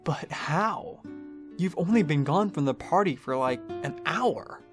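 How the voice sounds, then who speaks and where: Man voicing an anxious, high-pitched young big-brother pony. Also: animated, measured pace. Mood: startled, bewildered. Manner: worried, earnest. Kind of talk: dramatic storybook character acting. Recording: music behind the voice.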